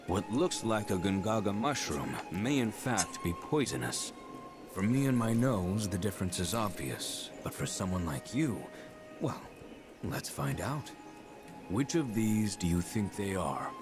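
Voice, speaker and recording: An actor playing a learned talking beast in a video game, speaking in a measured, formal, wry and condescending way. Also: male voice, lowish voice, lively intonation, over music. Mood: dry, knowing. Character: learned talking beast, dry, haughty and faintly teasing. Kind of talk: scripted video game dialogue.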